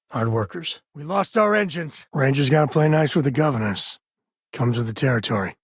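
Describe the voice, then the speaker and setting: An actor voicing an easygoing space-western ranger and devoted father in a video game, with a husky voice and a folksy, wry, conversational manner. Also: mid-range voice, dry recording, studio quality. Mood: urgent, combative. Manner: folksy, wry, casual drawl of phrasing.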